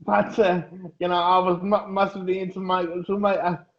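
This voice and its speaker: A man in his thirties from Germany, a guest in a casual interview, talking haltingly with many pauses and fillers. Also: high voice, little pitch movement. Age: 30s. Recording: echoey, dull lo-fi audio.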